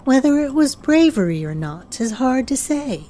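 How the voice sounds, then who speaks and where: A woman reading a scripted horror story as narrator, in a measured, ominous way. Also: sits high, sing-song, dark tone, slight background noise.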